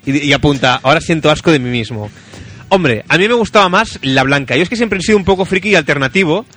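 A man's voice: on the high side, expressive, fast talker, fairly bright tone. A man from Spain with a Spanish accent hosting a radio show, chatting casually and jokily and reading out listener messages. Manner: jokey, colloquial. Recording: music playing behind the talk. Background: music.

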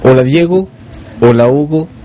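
A man's voice: fairly high pitch, measured pace, mellow, faint music behind. A man from Chile recording a mock-serious voice message of congratulation as a radio listener.

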